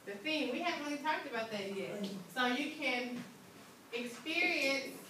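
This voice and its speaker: A woman's voice: sits high, animated, moderate pace, sharp tone. A woman teacher leading an interactive classroom lesson, often checking understanding with short questions. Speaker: English teacher. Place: indoor school classroom. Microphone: distant room microphone. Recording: echoey, noisy classroom, far from microphone.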